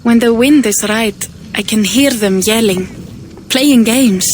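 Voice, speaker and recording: A woman's voice: fairly high pitch, slow, a little hiss or hum. An actress playing a troubled female Viking raider in a video game cutscene, speaking earnestly and questioning. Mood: uneasy.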